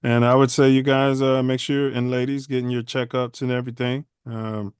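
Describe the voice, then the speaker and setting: A man hosts a casual podcast, rambling along in loose slang. His voice is smooth and clear. The sound is dry and close. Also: mid-range voice, flat delivery, normal speed, a little mellow, no echo. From Chicago. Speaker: podcast host. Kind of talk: casual livestream podcast chat.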